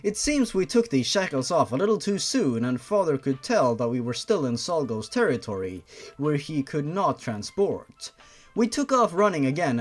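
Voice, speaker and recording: A man reading a story aloud like a sarcastic host, with an exasperated, mocking edge. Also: fairly high pitch. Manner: sarcastic, exasperated, profane asides.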